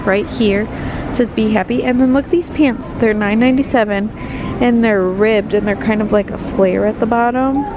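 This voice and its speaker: A woman's voice: on the high side, moderate pace. A young woman with a stuffed-up voice from a cold, chatting casually while she browses a big store and films on her phone. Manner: chatty, upbeat, lots of "like" and "kinda". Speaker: vlogger. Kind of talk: casual shop-with-me chat. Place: inside a large busy store. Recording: loud store noise, speaker and fan nearby.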